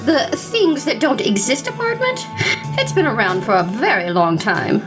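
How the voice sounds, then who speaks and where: A gleefully scheming lady official, voiced by an actress in an audio drama. Her high voice swoops dramatically with airy condescension, music playing behind. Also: sing-song, moderate pace, poor audio. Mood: smugly sly. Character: Missus Green, gleefully sly, scheming female government official.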